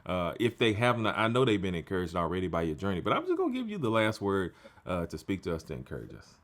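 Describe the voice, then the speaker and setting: A man's voice: low-pitched, wildly swooping pitch, quick pace, a bit bright. A man hosting an interview in a casual, playful style, joking with the audience and urging them like a preacher. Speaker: interview host.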